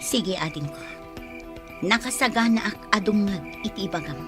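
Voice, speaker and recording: A woman's voice: mid-range voice, animated, normal speed, lightly acted. A woman with an Ilocano accent playing a cautious elder-sister figure in a radio drama, serious and probing. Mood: attentive. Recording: music behind, insect ambience.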